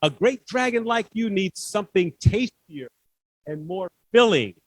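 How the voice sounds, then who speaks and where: A man's voice: high voice, animated, unhurried, no echo. A man voicing a brave, clever little owl knight while reading a children's storybook aloud. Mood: clever, pleading.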